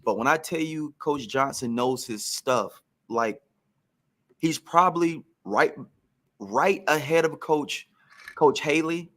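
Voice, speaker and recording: A man's voice: fairly high pitch, takes it slowly, bright, forceful tone. A man, a former pro football receiver, telling career stories on a podcast in a reflective, emphatic way.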